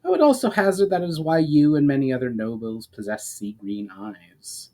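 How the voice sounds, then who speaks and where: A man voicing a grave, ancient elf in a fantasy storybook reading, speaking in a measured, formal, explanatory way. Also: medium pitch, lively intonation, mellow, close to an everyday voice. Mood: grave, revealing.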